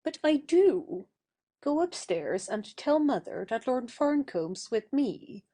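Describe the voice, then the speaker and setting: A woman playing a composed, kindly and teasing young lady in an audio stage play, measured and gently reproving. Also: sits high, sing-song, exaggerated voice.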